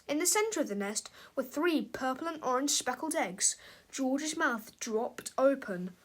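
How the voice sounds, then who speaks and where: Female storybook reader, high-pitched voice, expressive narration for children. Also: measured pace.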